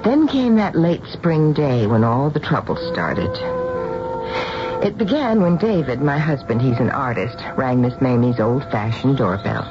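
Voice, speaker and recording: An actress playing a small-town housewife who narrates a radio drama in a confiding, reflective way. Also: female voice, very deep voice, animated, unhurried. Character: ordinary small-town housewife fondly telling a strange story. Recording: dull vintage radio broadcast with music.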